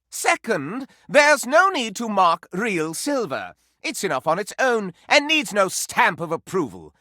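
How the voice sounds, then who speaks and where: An actor playing a flamboyant, gossip-loving living candelabra in a video game, pompous and melodramatic with florid diction. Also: male voice, high-pitched, pushed and bright, dry recording, clean studio sound, heavily acted. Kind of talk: scripted video game character acting.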